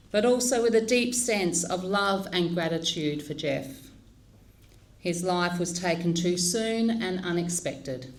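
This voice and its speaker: A woman leading a funeral service, speaking in a slow, measured and solemn way. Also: on the low side. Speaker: funeral celebrant.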